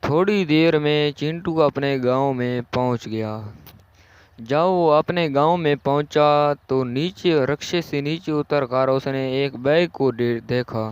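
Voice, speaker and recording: A man's voice: fairly high pitch, slow, clean tone, muffled recording. A man narrating a scripted cartoon story as the storyteller.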